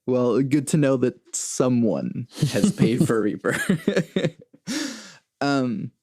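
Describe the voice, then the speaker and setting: A man's voice: medium pitch, lively intonation, takes it slowly, a little mellow, no room sound, studio quality. A man from Venezuela who moved to the US as a child, hosting a casual interview with loosely phrased, informal questions. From born in Venezuela, moved to the US at six. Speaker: podcast host, interviewer.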